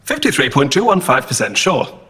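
A droid in a sci-fi radio play. It is precise, formal and deadpan, with a faint menace under its literal helpfulness. The tone is bright and crisp-edged. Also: male voice, on the high side, moderate pace. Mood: deadpan, smug.

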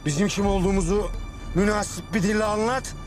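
A man's voice: high voice, steady tone, brisk, lightly acted. An actor playing an arrogant, menacing middle-aged man making threats over the phone. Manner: threatening, gruff, swearing. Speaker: brother-in-law. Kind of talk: TV drama acting. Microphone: phone call in a car. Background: music.

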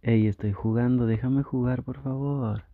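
A man with a Mexican accent playing a flustered, easily tempted gamer boyfriend in an intimate ASMR roleplay, talking in a halting, coaxing way. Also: mid-range voice, fairly even intonation, no echo, phone-quality sound, natural voice. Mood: flustered, pleading.

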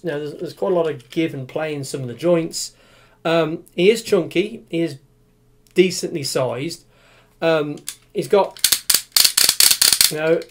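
Chatty toy reviewer in his forties, a touch high-pitched, meandering and full of fillers, recorded on a webcam at home. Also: noisy background. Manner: rambling, many fillers and restarts. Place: small room with display cabinet, indoors.

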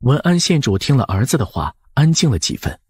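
A man's voice: medium pitch, big pitch swings, fairly quick, darkish tone, breathy edge, no room sound, clean studio sound. A man narrating an audiobook in a scripted storytelling style.

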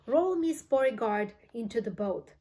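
A woman's voice: a little high, expressive, normal speed, dark tone, close to an everyday voice. A woman voicing a fretful, apologetic male candy inventor who sighs and stammers over his words. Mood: brisk, apologetic. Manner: sighing, stammering repetitions.

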